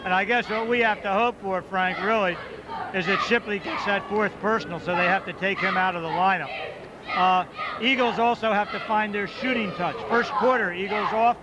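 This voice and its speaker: High-voiced guy doing play-by-play over a noisy gym crowd, muffled old tape. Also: fairly even intonation. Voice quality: loud voice. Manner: rapid clipped play calls, partisan for home team. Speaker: play-by-play announcer. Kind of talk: live basketball play-by-play. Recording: muffled 1990s local broadcast tape, loud gym crowd. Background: crowd noise, ball bounces.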